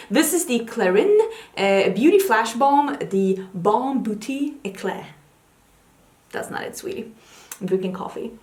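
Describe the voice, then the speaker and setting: A woman's voice: a little high, roomy sound. A young Swedish woman with a Swedish accent, chatting casually at her makeup station while she gets ready, giggling and teasing herself. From Sweden. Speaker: beauty vlogger. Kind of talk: casual get-ready-with-me chat. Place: home makeup station, indoors.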